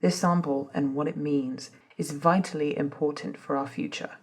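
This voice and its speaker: A woman playing a composed, authoritative female doctor in a soft-spoken ASMR drama, speaking in a measured, formal, husky way. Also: sits low, fairly even intonation. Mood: grave, controlled. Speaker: voice actor in scripted ASMR roleplay.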